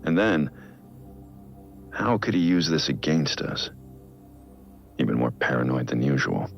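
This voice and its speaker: Guilt-ridden vigilante, middle-aged, rock-bottom pitch, grave measured delivery, game audio log, music behind. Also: male voice, animated, dull audio, full character voice. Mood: brooding, doubtful. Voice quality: deep, gravelly. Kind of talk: scripted audio log narration, video game acting.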